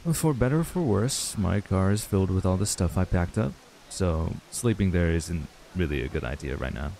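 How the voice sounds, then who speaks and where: Young male played by a man for ASMR roleplay: stressed runaway, earnest and halting, dark mellow tone, gravelly edge. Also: low-pitched, animated. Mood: weary, hesitant. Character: stressed young man, frustrated runaway reuniting with childhood friend. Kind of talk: intimate ASMR audio roleplay.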